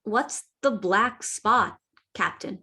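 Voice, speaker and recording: A woman's voice: medium pitch, slow pace, pushed and bright, husky, full character voice. A woman playing a timid fourteen-year-old boy in a radio play reading, with a hesitant, earnest manner. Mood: curious.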